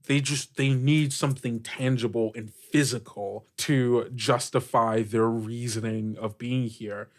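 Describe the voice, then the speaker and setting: A man's voice: mid-range voice, fairly even intonation, measured pace, few pauses. Casual, emphatic man running a game. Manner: informal, emphatic, thinking aloud. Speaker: game master. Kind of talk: casual tabletop roleplay discussion.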